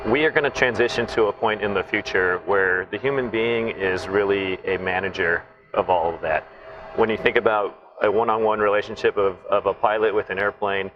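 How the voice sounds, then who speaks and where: A middle-aged man who grew up in Southern California, calmly explaining technical ideas in an interview and often checking in with "right?". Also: lowish voice, lo-fi sound. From Taiwan, raised in Southern California. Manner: thoughtful, explanatory, with frequent "right?" tags. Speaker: naval flight test officer, guest. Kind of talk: interview conversation.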